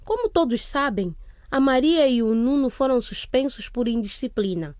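Casting: strict female headteacher, higher-pitched voice, commanding, admonishing delivery, radio drama. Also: big pitch swings, normal speed, a little mellow. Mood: strict warning. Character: stern, authoritative female school principal.

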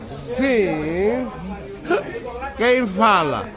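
An actor playing a cocky, defiant security guard in a radio drama, halting and mocking, repeating himself. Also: male voice, high voice, animated, exaggerated voice. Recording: loud crowd chatter behind.

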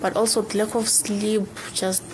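A woman's voice: mid-range voice, level tone, moderate pace, noisy background. A woman in her twenties from Johannesburg, a journalism student, offhand and dry in a casual interview. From Johannesburg, South Africa. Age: 20s.

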